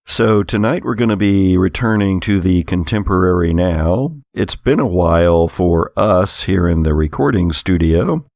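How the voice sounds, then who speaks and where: A man hosting a game podcast, his deep voice gravelly and scraped from coughing, talking off the cuff. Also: animated, measured pace, hardly any pauses, a little mellow, dry recording, studio quality. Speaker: host and game master. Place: home recording studio.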